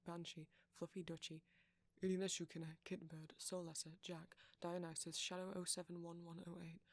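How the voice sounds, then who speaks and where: A man softly reading out a long list of supporter names as an audio roleplay creator, sometimes dropping into a whisper. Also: on the high side, dry recording. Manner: soft-spoken, slipping into whispers at times.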